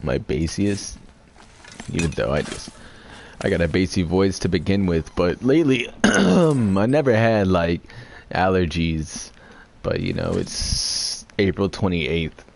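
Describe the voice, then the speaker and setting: A man's voice: low voice, very animated, measured pace, vocal fry, a little mellow, slight background noise. A young man giving rambling, profane, drunk gameplay commentary, with a congested voice. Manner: rambling, profane, loose and slurred. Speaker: gaming streamer.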